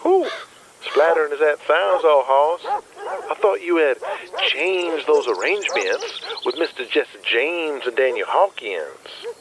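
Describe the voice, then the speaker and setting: Swooping, cocky wrestling heel. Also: male voice, on the high side, lively intonation, a crowd in the background, rough recording. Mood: jovial, teasing. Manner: drawn-out, mock-courtly, teasing. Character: cocky, crude, flamboyant Southern wrestling heel, a smooth talker. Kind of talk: audio drama dialogue.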